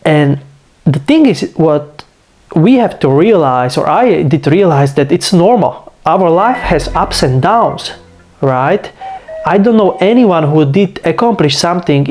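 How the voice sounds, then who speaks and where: A man's voice: on the high side, a little mellow, a little hiss or hum. A man in his twenties from Slovakia, speaking English with a Slovak accent, a little under the weather, rambling casually as a channel host and filling his talk with "you know" and "like.". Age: 20s. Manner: loose and rambling, leaning on fillers like "you know" and "like". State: a bit sick. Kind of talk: casual vlog monologue.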